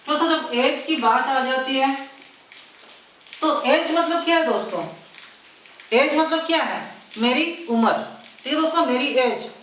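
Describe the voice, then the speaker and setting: A man's voice: extremely high pitch, sharp tone. A man teaching an exam-prep math lesson, repeating himself, asking rhetorical questions and mixing in English math terms. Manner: repetitive, keeps addressing viewers as friends; mixes English math terms into his talk. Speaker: math tutor. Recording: very echoey room, voice far from the mic.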